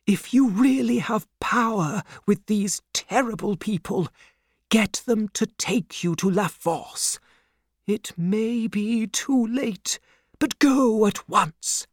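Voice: mid-range voice, takes it slowly, dry recording, studio quality, voice pushed up. A narrator playing an anxious elderly English banker with an urgent, dramatic manner.

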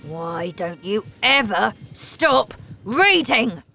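A woman voicing a bad-tempered father who shouts and sneers. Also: mid-range voice, big pitch swings, very slow, gravelly, faint music behind, pitched above the performer's own voice, heavily acted. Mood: angry. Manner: shouting, scornful.

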